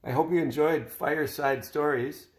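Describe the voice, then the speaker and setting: A man's voice: a little high, moderate pace, a little hiss or hum. A man narrating a storybook aloud as a father reading to his child. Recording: echoey room, distant from mic.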